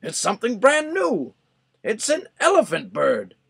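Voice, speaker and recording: A man reading a storybook aloud, voicing an astonished crowd of townsfolk who exclaim excitedly. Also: very high voice, unhurried, creaky, slightly rough, pitched above the performer's own voice, exaggerated voice. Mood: exultant.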